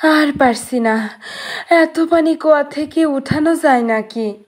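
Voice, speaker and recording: A woman's voice: high voice, takes it slowly, voice pushed up. A woman voicing a weary, timid palace maidservant in a cartoon, whining and strained. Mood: exhausted, fearful.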